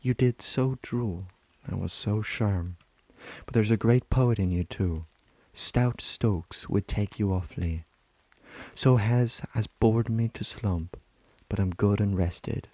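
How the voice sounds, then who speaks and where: A weary, tender aging river wife, voiced by a man speaks a lyrical, intimate monologue. The voice is fairly low and very slow, with long deliberate phrasing. It is recorded dry, as literary recitation. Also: darkish tone, dry recording. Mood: fond.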